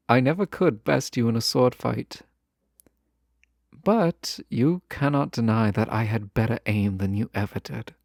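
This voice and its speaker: A man playing a lovestruck, wistful fantasy nobleman in a romantic audio roleplay, speaking formally and tenderly, with halting phrases. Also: medium pitch, gravelly, a little mellow, dry recording, studio quality. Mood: nostalgic, teasing. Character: lovestruck fantasy nobleman, wistful, teasing, formerly held captive.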